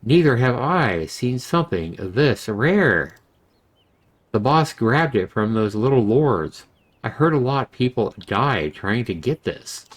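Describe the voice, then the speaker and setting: Guy doing a cocky young bro character, video game, excitable and braggy, slangy. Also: medium pitch, slow. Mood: boastful. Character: cocky young male best friend, excitable, boastful errand boy.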